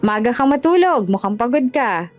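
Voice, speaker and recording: A woman narrator puts on an older Filipina landlady in an audio drama. She is brisk, nagging and motherly, pitched up high, with music behind her. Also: sing-song. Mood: nagging, caring.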